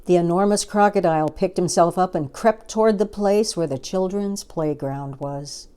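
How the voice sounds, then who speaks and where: A woman's voice: fairly low pitch, moderate pace, mellow, clean tone. A woman reading a children's story aloud as a storyteller, expressively and with dramatic emphasis.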